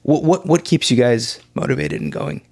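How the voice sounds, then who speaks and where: A man's voice: mid-range voice, normal speed, creaky, darkish tone. A man hosting a podcast interview, asking questions hesitantly, with frequent ums and restarts. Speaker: podcast host.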